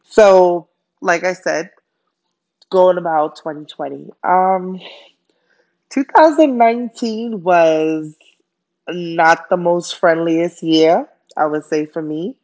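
A woman's voice: lowish voice, slow, long pauses, a little mellow, highs cut off. A young woman from Jamaica, raised in Brooklyn, with a Jamaican accent, hosting her first solo podcast in a chatty, friendly way with many fillers. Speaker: podcast host. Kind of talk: casual solo podcast monologue.

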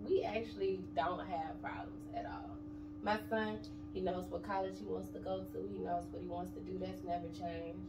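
A woman's voice: on the low side, level tone, normal speed. Blunt streetwise woman in a noisy echoey room. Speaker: interview guest. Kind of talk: casual interview chat. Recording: echoey room, distant mic, noisy background.

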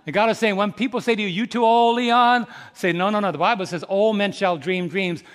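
High-voiced preacher in his fifties, echoing hall. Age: 50s. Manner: preaching with call-and-response questions to the congregation; swings from soft, slow reflection to loud, rising exhortation. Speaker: pastor. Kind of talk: sermon. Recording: long reverb in a large hall.

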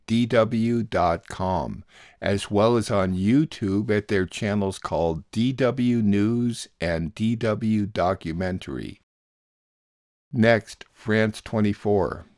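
A man's voice: lowish voice, a little mellow, no echo, clean studio sound. A man hosting a radio news programme, reading scripted summaries and source credits. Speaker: radio news show host.

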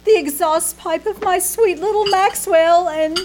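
High, slow, dizzy melodramatic starlet. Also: female voice. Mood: worried, despairing. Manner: theatrical, mournful mock-tragic flourishes. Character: dizzy, melodramatic young Hollywood starlet, self-dramatizing. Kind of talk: old-time radio comedy acting. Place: small-town theater stage, live performance. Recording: noisy live stage recording.

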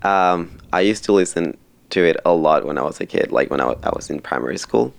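A young man from Mexico, a guest in a casual interview, talking haltingly with many fillers and restarts. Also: sits low, animated, creaky.

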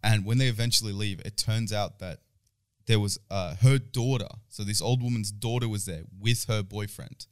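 A man's voice: fairly low pitch, moderate pace, smooth. A young man in his twenties, casually telling a swear-filled story with a laugh now and then. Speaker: podcast co-host. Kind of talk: casual chat.

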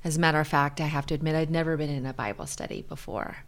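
A middle-aged American woman speaking earnestly and in a measured way as a guest in a reflective personal interview. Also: low-pitched, fairly even intonation. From Hawaii, USA.

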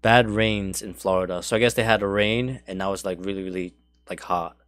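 A man's voice: deep voice, fairly even intonation, fairly quick, fairly bright tone, clean tone, no room sound. A man co-hosting a casual podcast, chatting in a jokey way and reading out comments. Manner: jokey, laughs often. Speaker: podcast co-host.

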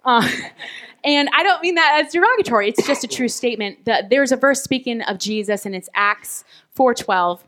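A woman's voice: on the high side, lively intonation, normal speed. A woman in her thirties sharing a heartfelt sermon in a church service, laughing now and then. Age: 30s. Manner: warm, heartfelt, laughing often. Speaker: pastor's wife, co-preacher.

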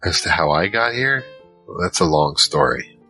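Casting: male actor for wry, world-worn male narrator of an audio drama, extremely low and bright-edged, casual delivery. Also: sing-song, moderate pace, faint music behind, full character voice, low-quality recording. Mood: reflective. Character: Michael, weary wry male narrator searching for his family. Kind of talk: first-person audio drama narration and dialogue.

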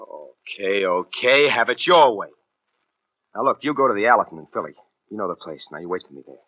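Hard-boiled jittery crook, vintage radio. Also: male voice, fairly low pitch, lively intonation, fairly quick, dry recording. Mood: impatient. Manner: slangy, clipped, hard-boiled. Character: tough, jittery small-time killer on the run. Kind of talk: 1940s radio noir acting, first-person narration. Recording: dull vintage 1940s radio with music.

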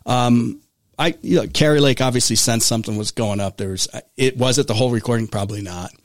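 Male talk host, voice going hoarse, rambling, close dry studio sound; casual political chat. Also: medium pitch, quick pace, no echo. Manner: rambling, many restarts.

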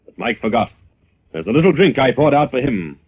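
An actor playing a confident amateur sleuth with a crisp, commanding delivery in 1940s radio drama style. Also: male voice, mid-range voice, sing-song, a little fast. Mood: assured. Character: brisk, confident male amateur sleuth and crime fighter. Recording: dull, noisy old radio recording.

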